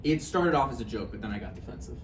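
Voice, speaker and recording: A woman's voice: rumbling low, expressive, fast talker, over music. A woman playing a nervous, joking boyfriend in a stage play table read, conversational, hesitant and apologetic. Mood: defensive. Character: Roger, nervous joking boyfriend, defensive and eager to please. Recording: roomy, distant mic, faint noise.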